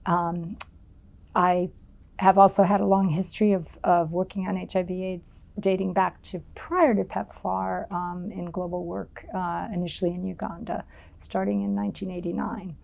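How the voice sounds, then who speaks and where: Interview subject: middle-aged woman from the US, low gravelly voice, halting and thoughtful. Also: little pitch movement, darkish tone. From United States. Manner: thoughtful, many ums and restarts. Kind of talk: oral history interview.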